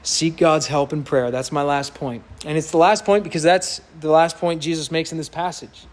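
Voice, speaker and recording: A pastor preaching an earnest, emphatic sermon to his congregation in a church sanctuary, with a loud voice that builds to impassioned peaks. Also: fairly high pitch, fairly even intonation, normal speed.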